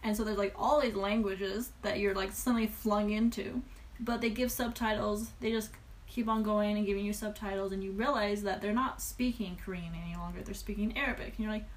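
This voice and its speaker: Rambling, expressive woman podcast host. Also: medium pitch, brisk. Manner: rambling, expressive, acts out reactions. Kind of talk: casual chat.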